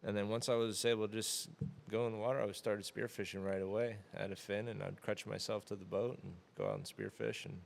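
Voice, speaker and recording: Low, flat-voiced man, easygoing. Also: brisk, vocal fry, hardly any pauses, a little hiss or hum. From Florida Keys, USA. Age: 30s. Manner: relaxed, rambling with many fillers. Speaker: interview guest. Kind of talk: casual conversation.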